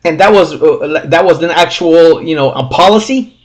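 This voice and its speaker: A man's voice: on the high side, moderate pace, a crowd in the background. A man interviewing casually as an agreeable, encouraging listener, over a telephone-like recording.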